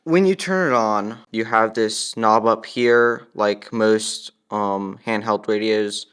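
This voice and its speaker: A man's voice: fairly low pitch, slow, a bit bright, clear, resonant tone. A young man giving a casual, matter-of-fact walkthrough as he demonstrates a product.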